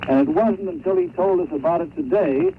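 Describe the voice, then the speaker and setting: A man's voice: on the high side, a little fast. A man delivering a scripted 1930s war correspondent's report, told like a story, over muffled, noisy shortwave radio.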